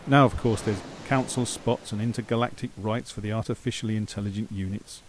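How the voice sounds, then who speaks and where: A man's voice: lowish voice, fairly even intonation, fairly quick, a little hiss or hum, natural voice. Man playing an irritable, sarcastic radio drama character, music behind. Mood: wry, exasperated. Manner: sarcastic, exasperated. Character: irritable, sarcastic man bossing his talking machine. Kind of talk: amateur radio drama acting.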